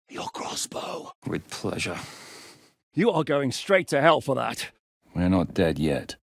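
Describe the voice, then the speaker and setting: An actor playing a gruff, battle-hardened rebel commander in a video game, barking orders, swearing and mocking his foes. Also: mid-range voice, fairly bright tone, dry recording, studio quality, full character voice.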